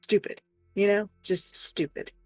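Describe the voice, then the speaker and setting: A woman's voice: mid-range voice, unhurried, creaky, dry recording. A woman streamer chatting casually and telling stories in a frank, exasperated, sweary way. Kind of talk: casual storytelling chat. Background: faint music.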